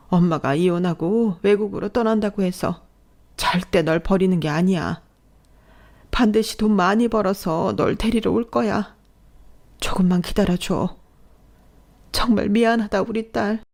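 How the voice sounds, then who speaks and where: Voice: medium pitch, normal speed, darkish tone, natural voice. A narrator voicing a long-suffering, fed-up wife and mother in dramatized storytelling dialogue. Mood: tearful apology.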